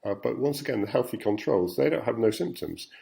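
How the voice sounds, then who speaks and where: A man's voice: mid-range voice, animated, a little fast, creaky, dark tone. A man, a professor, giving a recorded talk to patients about study results, explaining slides plainly with frequent ums and uhs. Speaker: endocrinology professor.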